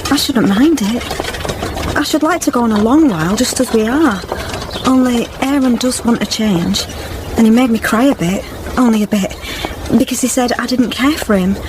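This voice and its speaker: An actress playing an innocent, affectionate young village daughter in a radio drama, with artless rustic phrasing. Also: female voice, a little high, moderate pace, noisy background, close to an everyday voice. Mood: earnest, shy.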